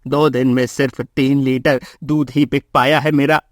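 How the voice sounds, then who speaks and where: A man's voice: mid-range voice, level tone, normal speed, dry recording, lightly acted. A man voicing a greedy, boastful, scheming milk seller in a cartoon moral story, with a gloating manner. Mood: bitter, plotting.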